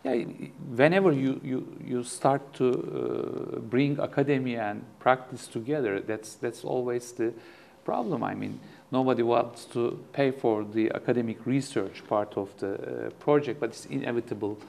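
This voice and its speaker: Middle-aged host with gravelly voice, Turkish native. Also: male voice, mid-range voice, normal speed, darkish tone. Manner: hesitant, many fillers and restarts in English. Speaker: interview host, professor. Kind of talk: interview questions. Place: studio with backdrop.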